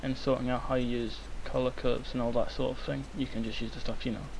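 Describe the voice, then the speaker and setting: A young man, hoarse and croaky from illness, rambling with many ums through a software tutorial. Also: medium pitch, little pitch movement, fast. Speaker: tutorial maker. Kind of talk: screen-recorded software tutorial. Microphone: cheap microphone. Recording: faint hiss, cheap mic.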